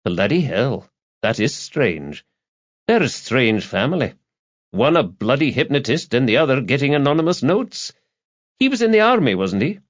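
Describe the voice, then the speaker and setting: A man voicing a sharp, scruffy, cocky newspaper reporter who probes and swaggers bluntly. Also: mid-range voice, sing-song, normal speed, highs cut off, voice pushed up. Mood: intrigued, prying.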